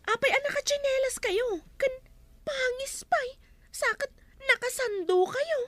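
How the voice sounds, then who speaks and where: A woman with an Ilocano accent voicing a squeaky young village hall helper who tattles, in a comedy radio drama. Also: unhurried, a bit bright. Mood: tattling.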